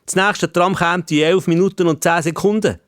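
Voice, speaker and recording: Children's storybook gentleman, voiced by a man: old-fashioned, Swiss-accented, courteous yet cheeky, full of curiosity, pitched up. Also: steady tone, moderate pace, no room sound, studio quality, close to an everyday voice. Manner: polite but cheeky, inquisitive.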